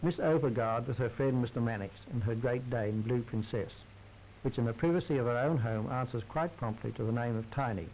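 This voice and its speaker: Male, droll formal 1930s newsreel narrator, mid-pitched, on a muffled vintage soundtrack. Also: a little fast, slight background noise. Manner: wry, droll, formal society commentary.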